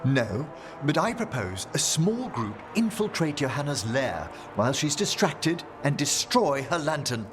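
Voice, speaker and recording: An actor playing a refined, anxious older necromancer in a video game, speaking formally and precisely. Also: male voice, fairly high pitch, big pitch swings, a little slow, few pauses, music in the background. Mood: grave, resolute.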